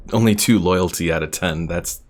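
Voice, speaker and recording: A man's voice: low-pitched, darkish tone, a little hiss or hum. A man giving casual live gameplay commentary as a streamer, thinking aloud as he plays. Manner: thinks aloud while playing. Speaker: game streamer. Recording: game music behind voice. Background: video game music.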